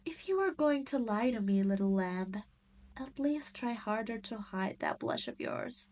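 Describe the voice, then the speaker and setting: A woman's voice: fairly high pitch, lively intonation, darkish tone. A woman playing a cruel, arrogant demon queen in a roleplay audio drama, teasing and condescending as she speaks straight to the listener. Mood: smug, taunting. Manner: teasing, condescending, drawn-out delivery. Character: cruel, arrogant adult female demon queen, mocking and possessive.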